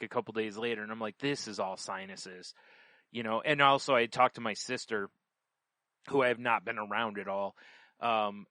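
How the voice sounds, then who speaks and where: A man's voice: medium pitch, pushed and bright, no echo. A man chatting casually as a podcast host, rambling through personal anecdotes and acting out bits of conversation as he goes. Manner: rambling, conversational, quotes himself and others.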